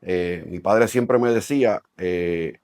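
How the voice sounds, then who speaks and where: A man's voice: deep voice, takes it slowly, a little mellow, no room sound, clean studio sound. A middle-aged Puerto Rican man with a Puerto Rican Spanish accent, a developer answering interview questions in a thoughtful way, with many fillers and restarts. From Puerto Rico. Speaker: real estate developer, company president.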